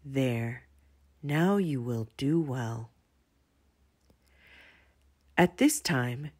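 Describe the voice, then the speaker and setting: A young woman reading aloud and reflecting for children in a deliberate, carefully enunciated way. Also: deep voice, a little mellow, husky. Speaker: children's story reader and presenter. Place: indoors in a home room with windows.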